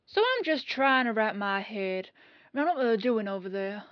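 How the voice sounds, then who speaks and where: A woman playing a dogged police detective in an audio roleplay, questioning in a folksy, falsely casual way with pauses. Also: fairly high pitch, animated, no echo, dull audio, close to an everyday voice. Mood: casual suspicion. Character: dogged female police detective, casually probing, quietly suspicious.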